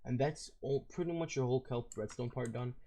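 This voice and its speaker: A hoarse man with a raspy voice, walking through a game build in a casual, rambling way. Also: mid-range voice, fairly quick, warm tone. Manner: casual and rambling, with fillers and self-corrections. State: hoarse, losing his voice. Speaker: video game tutorial creator. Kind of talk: casual step-by-step game tutorial.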